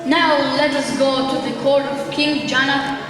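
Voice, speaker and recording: A woman's voice: high voice, steady tone, a little slow. A young woman playing a venerable, earnest male sage in a formal, declamatory school stage play. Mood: formal, pleased. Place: large school gym. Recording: echoey large hall, distant mic, music.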